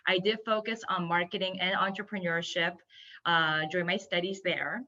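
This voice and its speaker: Female guest speaker for a webinar, Japanese native, bright and enthusiastic delivery, slightly muffled online-call sound. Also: fairly low pitch, fairly even intonation, moderate pace. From Yokohama, Japan. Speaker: guest speaker, hospitality entrepreneur. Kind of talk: webinar presentation. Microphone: video call.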